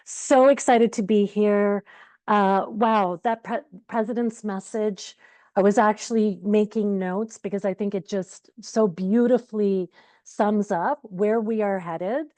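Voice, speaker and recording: A middle-aged woman from Uganda who grew up in Canada, giving an energetic, conversational keynote talk over a video call. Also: medium pitch, unhurried, no echo. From Uganda, raised in Canada. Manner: energetic, conversational, many fillers. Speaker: conference keynote speaker. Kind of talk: virtual conference talk.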